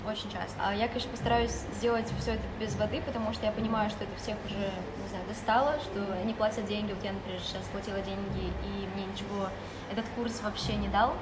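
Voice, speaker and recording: A woman's voice: medium pitch, flat delivery, fast talker. A young woman giving casual, chatty interview answers as a podcast guest, speaking informally with plenty of slang. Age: young adult. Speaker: podcast guest, blogger and brand founder. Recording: very noisy ambient background.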